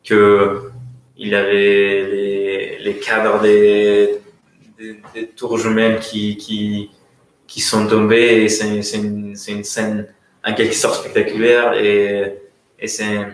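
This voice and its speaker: A man from Russia with a Russian accent speaks French as a guest economist at a conference, often pausing to search for words. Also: on the low side, completely flat delivery. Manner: hesitant, searching for words, many fillers and restarts. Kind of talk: conference talk. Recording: very echoey room.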